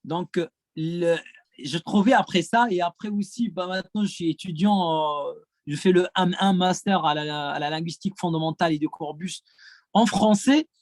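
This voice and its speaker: A man's voice: high voice, moderate pace, no echo. A man from Gaza whose first language is Arabic speaks French with an Arabic accent, answering questions at a conference as a French teacher, hesitant and full of repeated words. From Palestine, Gaza.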